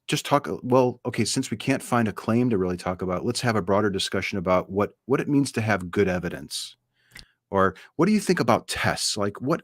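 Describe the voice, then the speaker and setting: A man's voice: fairly low pitch, no room sound, clean studio sound. A man who does street interviews, explaining his approach in casual conversation. He leans on "like" and acts out what people say. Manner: explanatory, uses "like" often and acts out quotes.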